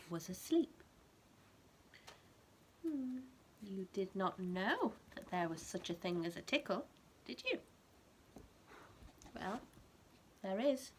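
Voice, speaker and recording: A woman with a mid-pitched voice reads a children's story in an expressive, teacherly way. She speaks directly to a small child and keeps her wording simple. There is clear ambient noise behind her. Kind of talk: animated storybook read-aloud with asides explaining words.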